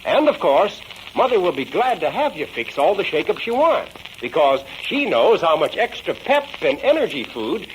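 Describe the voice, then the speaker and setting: An American man giving a peppy, chummy 1940s radio sales pitch aimed at children, full of exclamation. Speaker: radio commercial announcer. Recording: noisy vintage radio recording.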